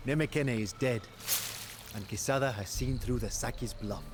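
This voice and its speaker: A man's voice: medium pitch, normal speed, lightly acted. Game cutscene lead: male actor as devoted warrior chief, solemn and intense theatrical storyteller, medium register, prominent music underneath. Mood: grave, bitter. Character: passionate Muisca war commander, grave and devoted lover. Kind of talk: dramatic scripted first-person game cutscene narration.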